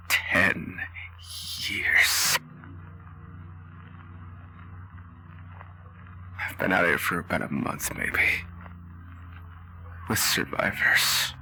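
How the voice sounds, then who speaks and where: Man playing a slow, low, gravelly grieving father. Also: sharp tone, a little hiss or hum, heavily acted, poor audio. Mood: weary, subdued. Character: grieving 32-year-old father, vault survivor of a wasteland. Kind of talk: dramatic in-character roleplay monologue. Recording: background music under the voice.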